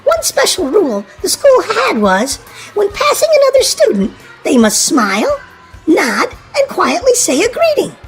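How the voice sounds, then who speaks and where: A woman reading a children's storybook aloud in an expressive, animated way. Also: extremely high pitch, a little slow, soft background music, low-quality recording. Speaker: storybook narrator.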